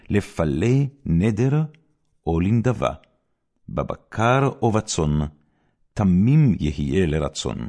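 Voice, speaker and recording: A man reading the Bible aloud in a solemn, scripted style, with measured, deliberate phrasing and pauses. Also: deep voice, dark tone. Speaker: scripture reader.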